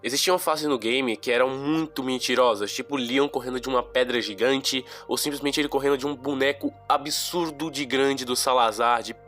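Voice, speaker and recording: A man's voice: mid-range voice, steady tone, normal speed, hardly any pauses, a bit bright, soft background music. Stuffy-nosed young Brazilian man chatting. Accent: Brazilian Portuguese. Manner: slangy, chatty, rambling asides. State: congested from a cold, nasal. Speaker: gaming YouTuber. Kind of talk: casual game review monologue.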